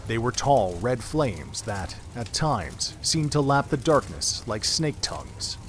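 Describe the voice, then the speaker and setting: A man's voice: medium pitch, big pitch swings, slow pace. A man giving solemn, literary scripted narration for an audio drama.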